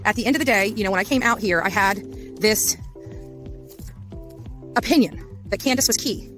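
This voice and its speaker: A woman's voice: medium pitch, moderate pace, a bit bright, soft background music. A woman giving blunt, certain commentary on location for a video. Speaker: online case commentator.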